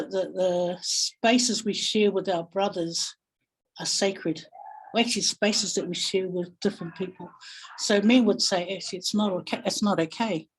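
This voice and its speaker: A woman with a New Zealand accent speaking reflectively in a webinar, pausing thoughtfully and searching for her words. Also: lowish voice, breathy edge, low-quality recording. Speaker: guest webinar speaker, family violence practitioner.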